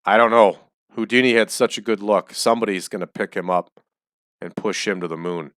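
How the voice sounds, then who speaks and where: Male let's-play host, low and close, chatty, voice slightly dry. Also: brisk, a bit bright, dry recording. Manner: chatty, explains choices as he goes. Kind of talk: casual game commentary. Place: small home room.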